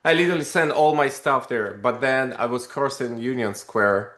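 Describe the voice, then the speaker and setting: A man, a company founder telling his story in a casual interview, animated and rambling with many fillers. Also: medium pitch, moderate pace. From Russia. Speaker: podcast guest, company founder. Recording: echoey room.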